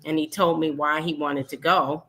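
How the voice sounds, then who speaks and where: Woman panelist, bright voice, on the low side, emphatic. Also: level tone, moderate pace. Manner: emphatic storyteller. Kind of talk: casual panel talk.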